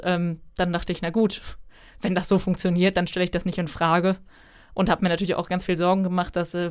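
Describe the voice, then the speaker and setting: A young woman in her twenties, a German author, answering interview questions in a chatty, thoughtful way with frequent fillers. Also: on the low side. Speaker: author guest.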